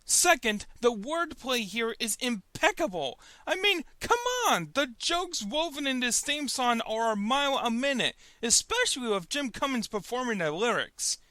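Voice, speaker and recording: A man's voice: very high-pitched, animated, normal speed, hardly any pauses, bright, forceful tone, no room sound, clean studio sound. A man hosting a web review show, giving scripted comedic commentary in an emphatic, mock-indignant manner.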